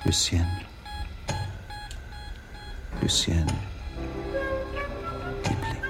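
Low, gravelly, troubled husband. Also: male voice, wildly swooping pitch, slow, fairly bright tone, faint music behind, full character voice. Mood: tender, anguished. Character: troubled, brooding husband puzzling over wife's suspicious accident. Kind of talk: 1980s German radio crime drama dialogue.

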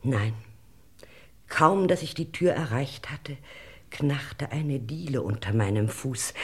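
An actress playing a frightened, emotional lady witness in a German radio crime play, telling her account with dramatic swells and hushed drops. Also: low-pitched, slightly rough, heavily acted. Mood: anxious, hushed.

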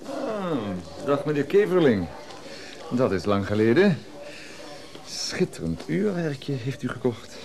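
An actor playing a prying, condescending male antique dealer in a radio drama, formal and insinuating, with hesitant pauses. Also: mid-range voice, very animated, creaky, crowd noise, heavily acted. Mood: prying, feigned politeness. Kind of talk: radio drama acting, dialogue.

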